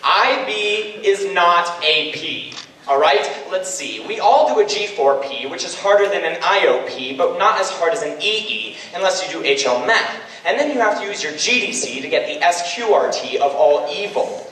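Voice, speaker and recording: A young man with a high voice recites slam poetry in a rhythmic, emphatic way. He sounds like a student poet on stage, heard from a distance in a very echoey hall. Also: lively intonation, moderate pace, sharp tone, a little hiss or hum. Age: young adult. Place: large indoor ceremony hall.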